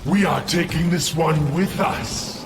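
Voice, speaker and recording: Game heavy: commanding enemy officer, curt, slow and fairly high, echoing from afar. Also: male voice. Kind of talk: video game cutscene acting. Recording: distant, echoing, under very loud music.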